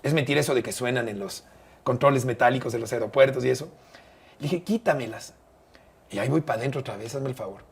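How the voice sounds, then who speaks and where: Husky-voiced Mexican man telling a story. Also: medium pitch. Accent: Mexican Spanish. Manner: measured, detailed storyteller with frequent hesitations. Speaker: interview guest, sports broadcaster. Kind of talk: conversational storytelling.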